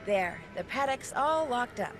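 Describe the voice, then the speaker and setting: Animated young woman detective. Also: fairly high pitch, measured pace. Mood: confident. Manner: polite, eager, inquisitive. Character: curious, upbeat, confident young woman detective. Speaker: video game protagonist. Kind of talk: video game character dialogue. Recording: music playing behind the voice.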